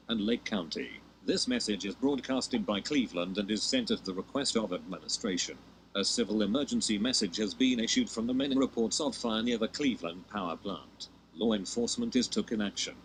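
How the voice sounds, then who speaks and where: A man's voice: fairly low pitch, fairly bright tone, slight background noise, low-quality recording. A man reading scripted emergency broadcast warnings as an announcer, formal and impersonal.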